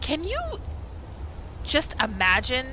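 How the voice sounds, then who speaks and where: A woman hosting a roleplay gaming video, giving casual, expressive commentary as she plays. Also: high-pitched, takes it slowly, a little hiss or hum. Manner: expressive, reactive. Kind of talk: casual let's play commentary mixed with in-character roleplay. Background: game music.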